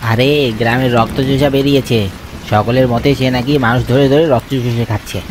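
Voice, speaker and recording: A man's voice: medium pitch, fairly even intonation, normal speed, natural voice. A man playing a young village friend in a horror cartoon, chatty, casual and matter-of-fact. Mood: matter-of-fact, uneasy. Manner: casual, conversational. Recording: rain and thunder noise behind.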